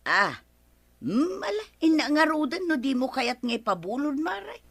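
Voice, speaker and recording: An actress playing an easily miffed village neighbour in an Ilocano radio comedy drama, with expressive, drawn-out interjections. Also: female voice, high voice, dry recording, heavily acted. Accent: Filipino, Ilocano. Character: village neighbour woman borrowing a drying mat, easily miffed.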